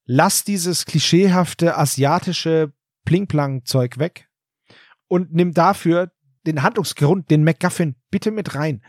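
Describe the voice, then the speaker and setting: Guy podcast co-host, voice on the high side, emphatic casual opinions, very dry sound. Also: slow, dry recording. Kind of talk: casual discussion of an audio drama.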